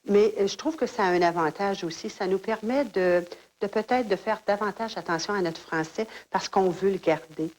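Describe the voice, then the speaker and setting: Female interviewee, measured, pausing speech. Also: medium pitch, a little mellow. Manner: thoughtful, hesitant. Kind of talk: interview clip.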